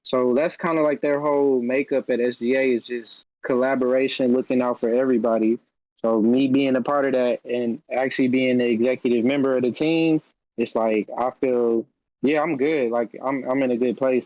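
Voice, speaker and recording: A man's voice: mid-range voice, steady tone, normal speed, a little mellow, dry recording, lo-fi sound. A man talking as a guest on a casual video-call podcast, loose and enthusiastic with lots of fillers. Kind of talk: casual chat. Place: home room on a video call.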